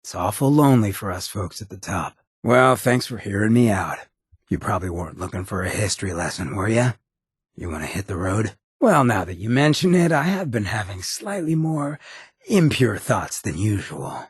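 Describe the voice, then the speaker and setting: A roguish ghoul mayor from a video game, with a heavily husky, shredded rasp. He talks in a sly, wry, streetwise drawl full of swagger. His lowish pitch swings around expressively from phrase to phrase. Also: very animated, normal speed, no echo, studio quality, exaggerated voice. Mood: flirtatious, sly. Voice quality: raspy, gravelly. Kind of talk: video game character dialogue.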